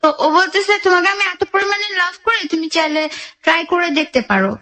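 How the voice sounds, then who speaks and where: A woman's voice: very high voice, a little fast, pushed and bright. A young woman with a Bangladeshi accent, telling her own life story as a guest in a radio interview.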